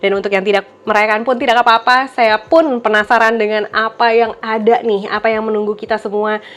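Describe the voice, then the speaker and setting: A woman's voice: on the high side, little pitch movement, a little fast. A woman reading tarot cards for viewers in a casual, reflective way, with many fillers and a slightly blocked nose from a cold. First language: Indonesian. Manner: gentle, reflective, with frequent fillers. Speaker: tarot reader.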